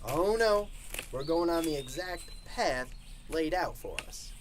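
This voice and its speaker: A man's voice: a little high, animated, a little slow, background noise. A man playing a cryptic, pious minister in an amateur radio drama, preachy and theatrical. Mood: sanctimonious, cryptic. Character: cryptic, pious backwoods male minister and guide.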